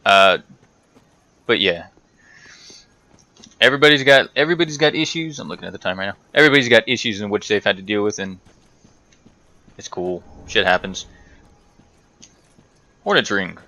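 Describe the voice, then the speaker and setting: A man's voice: lowish voice, lively intonation, moderate pace, pauses often, pushed and bright, no room sound. A man giving casual, rambling commentary over a video game playthrough, chatting to himself and to the game's characters. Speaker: gaming video host.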